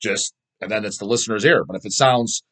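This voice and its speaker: A man's voice: on the low side, fairly quick, bright, forceful tone. A man hosting a casual video show, rambling through his opinions in a blunt, profane way and repeating words for emphasis. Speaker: host of a video show about underground death metal. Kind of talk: casual rambling opinion vlog, answering viewer comments.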